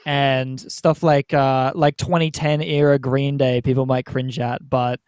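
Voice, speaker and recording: A man's voice: mid-range voice, little pitch movement, unhurried, darkish tone, smooth, no echo. Young man, dry close sound. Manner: hesitant, thinking aloud. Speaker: band member and podcast guest. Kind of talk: casual group podcast chat.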